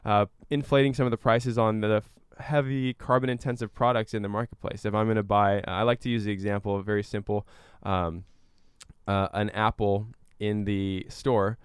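Low, clear-voiced man explaining. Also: steady tone, moderate pace, dry recording, studio quality. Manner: explanatory, with everyday examples. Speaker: interview guest, climate researcher and activist. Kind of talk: conversational explaining in a radio interview.

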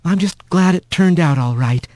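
A man's voice: sits high, normal speed, a little mellow, no room sound, clean studio sound, voice pushed up, lightly acted. A man voicing a kind young female mouse who serves on the town council, polite and earnest.

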